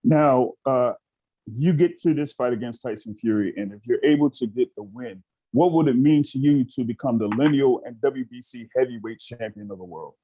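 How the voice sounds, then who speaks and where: A man's voice: medium pitch, normal speed, warm tone, no room sound, low-quality recording. A man working as a journalist, asking conversational questions on a remote press call. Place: remote media conference call.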